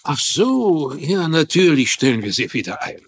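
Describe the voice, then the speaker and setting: A man's voice: fairly high pitch, sing-song, normal speed, no echo. A man playing a flustered, sentimental older business executive in a dubbed TV drama, hesitant and earnest. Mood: flustered, evasive.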